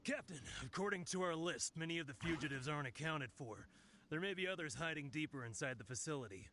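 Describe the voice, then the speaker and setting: A bright, high male voice an eager underling guard in a video game. His delivery swings widely in pitch, animated and a little shifty, with faint noise behind it. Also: fast talker. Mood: dutiful. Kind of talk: English video game dub acting.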